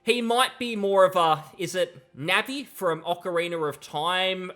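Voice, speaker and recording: Bright-voiced young man, sardonic commentator. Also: sits high, a little slow. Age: young adult. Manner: sardonic, dismissive. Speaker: video commentator. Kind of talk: casual opinionated reaction commentary. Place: small plain room indoors. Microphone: close boom-arm mic, headset on.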